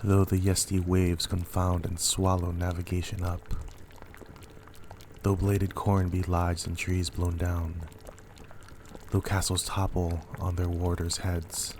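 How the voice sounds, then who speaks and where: A man playing a grim, commanding warrior king, speaking gravely and deliberately in a dramatic Shakespeare reading. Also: sits low, noisy background.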